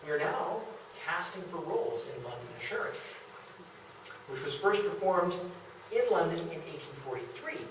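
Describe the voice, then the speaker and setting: A young man delivers a prepared lecture in a fairly high voice. He is picked up from a distance in a very echoey room, with some noise underneath. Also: animated, moderate pace. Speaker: lecturer presenting a local theatre history talk. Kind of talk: prepared public lecture, scripted. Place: meeting room in a historic house, at a podium. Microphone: distant camera microphone. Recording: echoey room, distant camera, background hiss.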